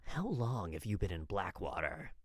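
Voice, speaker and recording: Man doing a low, growling, laconic cowboy. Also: very animated, moderate pace, no room sound, clean studio sound. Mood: subdued, laconic. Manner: terse, gruff. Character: laconic, grumbling older cowboy, unbothered troublemaker. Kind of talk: audio story character acting.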